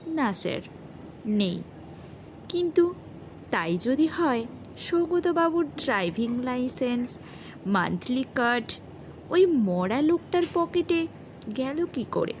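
A woman's voice: sits high, sing-song, slow, pauses often, a little hiss or hum, voice pushed up, full character voice, poor audio. A woman voicing a deferential, hesitant male junior policeman who reports respectfully in an audio horror story. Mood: baffled, earnest.

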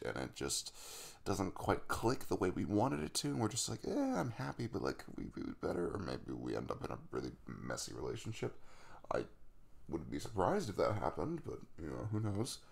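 A man's voice: sits low, huge pitch swings, fairly quick, vocal fry, exaggerated voice. A man acting an affectionate, earnest, chatty young crush in an intimate ASMR roleplay, rambling playfully in a casual way. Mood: earnest, tender.

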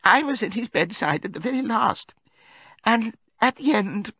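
An oily pig propagandist from a political animal fable, voiced by a man. He speaks high and slow, with sentimental, theatrical mourning and a declamatory swell. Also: lively intonation, bright, forceful tone, breathy edge, dry recording, exaggerated voice. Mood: mock mournful.

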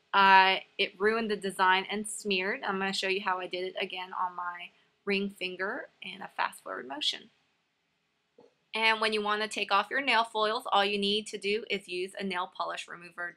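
This is a woman's voice with a bright, crisp-edged tone, sitting at an average pitch for a woman. She talks viewers through steps in a casual, instructional way. It sounds like an informal tutorial voice-over from a hobby host. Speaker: nail art tutorial host.

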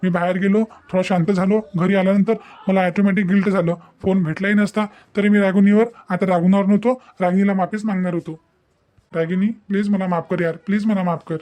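A man's voice: sits high, little pitch movement, brisk, a little mellow, natural voice. A man voicing an earnest, remorseful young husband in a cartoon domestic story, pleading repetitively.

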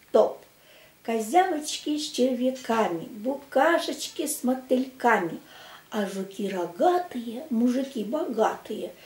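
An older woman reciting a children's verse tale in a theatrical, drawn-out and emphatic way. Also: fairly high pitch, darkish tone. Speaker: amateur children's storyteller with a plush puppet. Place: small room at home. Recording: echoey home room recording.

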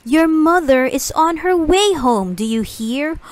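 A woman's voice: high-pitched, animated, a little slow, dry recording, pitched above the performer's own voice. A woman voicing an anxious, bossy pet fish in a storybook, urgently exclaiming and repeating its orders. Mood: panicked urgency.

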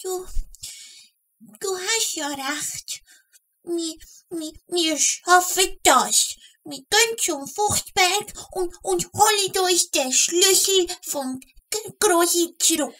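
Tiny, squeaky, stammering Swiss flea. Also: female voice, expressive, slow, fairly bright tone, exaggerated voice. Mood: shaky resolve. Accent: Swiss German. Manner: stammering, hesitant. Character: timid, nervous little flea companion. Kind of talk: children's audio drama acting.

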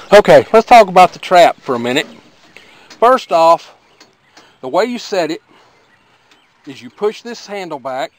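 A middle-aged man with an Appalachian accent, talking in a folksy, plainspoken, rambling way as he demonstrates something outdoors by his garden. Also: fairly high pitch. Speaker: homesteader vlogger.

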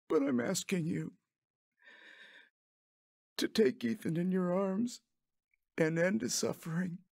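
A man's voice: high-pitched, gravelly, no room sound, studio quality, heavily acted. A man acting a guilt-ridden, guarded young father in an audio drama, confessional and macho. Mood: anguished, pleading. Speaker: lead actor and narrator. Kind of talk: audio drama acting and first-person narration.

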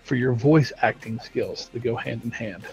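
A man's voice: medium pitch, normal speed, husky, low-quality recording. A man chats on a studio live stream, explaining his points at length in a casual way. Faint music plays underneath. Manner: talkative, explains at length with acted-out quotes. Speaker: game studio team member on stream.

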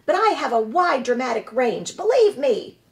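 A woman's voice: high-pitched, big pitch swings, moderate pace, pitched above the performer's own voice. Woman voicing a gushing theatrical opossum. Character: theatrical female opossum, dramatic show-off.

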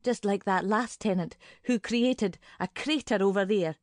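An actress playing a suspicious, fretful older woman neighbour in an audio drama monologue, brooding in clipped, deliberate fragments. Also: mid-range voice, no echo, clean studio sound, close to an everyday voice. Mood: aggrieved.

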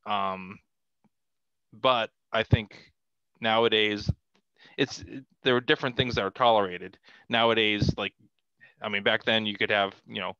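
A middle-aged man chatting as an interview guest, halting and repeating phrases as he explains, on a headset in a video call from home. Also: lowish voice, fairly even intonation, sharp tone, clean tone, no echo, muffled recording. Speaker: podcast guest, comic artist. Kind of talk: casual conversational interview. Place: small cluttered room at home, video call.

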